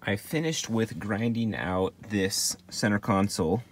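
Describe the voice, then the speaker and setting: A man's voice: on the low side, a little slow, slight background noise. A man hosting a do-it-yourself video, talking through a job step by step in a casual, plain-spoken, informal way. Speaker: DIY video host. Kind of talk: casual how-to walkthrough.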